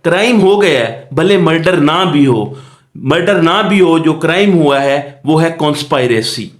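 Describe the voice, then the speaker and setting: A Pakistani man with a fairly high voice gives a law lecture at a slow, deliberate pace. He poses questions and answers them himself, switching into English for legal terms. The room around him rings with echo. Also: animated. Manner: didactic, asks rhetorical questions and answers them. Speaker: law teacher. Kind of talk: lecture explaining a statute. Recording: echoey room.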